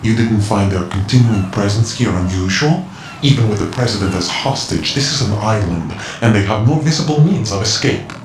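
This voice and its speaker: A cryptic, calm ninja informant. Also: male voice, on the low side. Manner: measured, cryptic, lecturing. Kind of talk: video game cutscene acting. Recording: roomy game mix with loud music.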